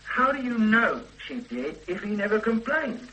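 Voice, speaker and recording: A blunt police inspector in a vintage radio drama who grinds away at a reluctant witness. His voice is bright and lively, moving a lot in pitch. There is a faint hiss behind him. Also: normal speed, full character voice, poor audio. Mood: pressing. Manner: pressing, sardonic, threatening when stonewalled. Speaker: police detective questioning a witness. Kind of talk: 1970s-80s radio drama acting.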